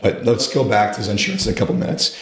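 A man hosting a podcast interview, his voice raspy and hoarse as he is losing it to a sore throat, talking in a quick, conversational way. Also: fairly low pitch, expressive, a crowd in the background. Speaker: podcast host. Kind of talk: casual interview chat. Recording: echoey room.

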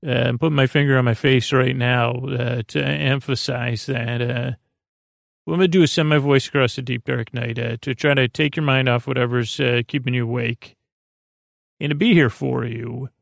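A man with a creaky voice hosts a sleep podcast, meandering hesitantly through tangents in a bedtime monologue. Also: medium pitch, no echo, studio quality. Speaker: podcast host.

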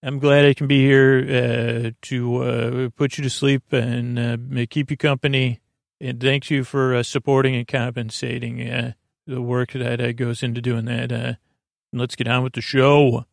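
An American man hosting a bedtime podcast in a creaky, soothing voice, meandering and stumbling through a halting monologue. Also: mid-range voice, little pitch movement, dry recording, clean studio sound. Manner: meandering, halting, stumbling over words and drifting off on tangents; soothing, lulling. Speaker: podcast host.

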